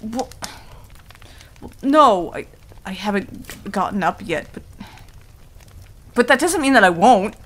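A woman's voice: mid-range voice, lively intonation, a little slow, long pauses. A woman playing a stubborn, easily flustered female werewolf who stammers defensively, in an ASMR roleplay. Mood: indignant. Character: flustered, stubborn tsundere female werewolf, young, secretly affectionate.

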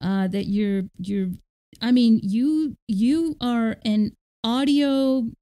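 A woman's voice: fairly high pitch, slow pace, warm tone. A woman hosting a podcast interview, enthusiastic and often restarting her sentences. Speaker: podcast host. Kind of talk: casual interview chat.

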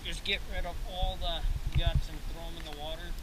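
A man's voice: fairly high pitch, little pitch movement, brisk. Young man explaining outdoors, noisy camera. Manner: plain, step-by-step explaining. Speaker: angler showing how to clean fish. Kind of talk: casual how-to narration. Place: rocky riverbank outdoors. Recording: outdoor camera audio with noise.

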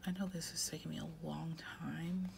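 A woman's voice: low-pitched, flat delivery, normal speed, gravelly, background noise. A woman with a head cold, congested, chatting casually and often half-whispering as she fills out her weekly planner at home. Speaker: planner vlogger. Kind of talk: casual chat while planning.

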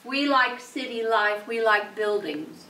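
A woman chatting casually and warmly while taking photos in an apartment. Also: on the high side, expressive, slow, a little hiss or hum. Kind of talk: casual chat. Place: senior apartment living room. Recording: echoey room, far from camera.

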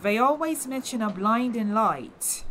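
A woman's voice: a little high, lively intonation, unhurried, a bit bright, a little hiss or hum. An actress playing a devout, skeptical older mother with folksy, rustic phrasing in a fantasy audio drama. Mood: doubtful, thoughtful.

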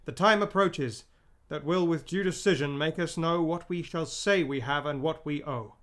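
Man acting a sober general, slightly high voice. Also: normal speed, a bit bright, natural voice. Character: seasoned noble general, sober and resolute. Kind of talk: classical radio drama, Shakespearean verse.

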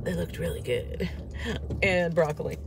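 A woman's voice: on the low side, measured pace. A woman in her fifties chatting casually to her camera from inside a car, rambling and laughing often, a little stuffy from a cold. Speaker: vlogger. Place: inside a parked and moving car. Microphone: phone camera on the dashboard. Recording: car noise audible behind voice.

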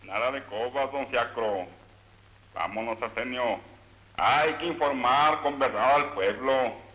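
A man's voice: mid-range voice, slow pace, sharp tone. Cartoon satire: male actor as scheming, pompous Mexican party boss, mid-range voice, dull vintage film audio. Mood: brisk. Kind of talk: satirical animated film dialogue. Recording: dull old film soundtrack.

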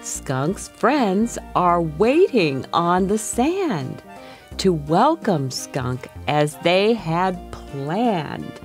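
A middle-aged woman reading a children's story aloud in an expressive, deliberate storytime style. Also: on the low side, soft background music.

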